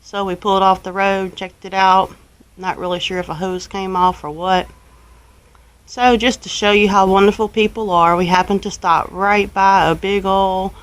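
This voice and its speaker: A woman with a Southern US accent tells a story in a relaxed, colloquial style. Her pitch sits in the middle and stays fairly even. She is folksy and unhurried, like a homestead vlogger chatting about everyday life. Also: rough recording. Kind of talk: casual first-person storytelling.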